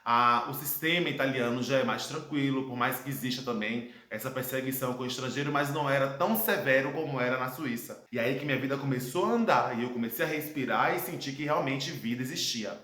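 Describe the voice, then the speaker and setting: A Brazilian man in his thirties speaks at an ordinary, mid-range pitch, telling his own story in an earnest, reflective way. He leans on dramatic pauses as he goes. The room around him rings with a noticeable echo. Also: pushed and bright. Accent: Brazilian Portuguese. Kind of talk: personal testimony monologue. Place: his home, indoor room. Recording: echoey room.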